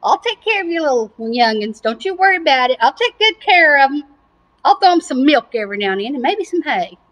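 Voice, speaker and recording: A woman's voice: high voice, very animated, a little fast, fairly bright tone, poor audio. A woman voicing a gloating, taunting female cow in a children's story, with mocking sing-song teasing and a Southern US accent. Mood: smug mocking.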